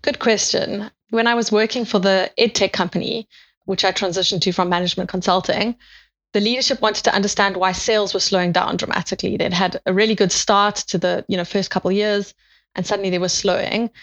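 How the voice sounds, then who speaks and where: A woman with a South African accent answers interview questions in a steady, measured way. Her voice sits in a middle pitch and her delivery stays organised and clear. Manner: measured, methodical explanation. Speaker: guest, sales operations manager.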